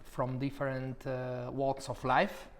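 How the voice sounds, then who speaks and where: A young man from Málaga speaks English with a Spanish accent, his voice sitting at an ordinary middle pitch. He answers questions in a relaxed, considered way, often pausing with "uh" while he finds his words. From Málaga, Spain. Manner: thoughtful, with frequent "uh" pauses. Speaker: property specialist at an agency.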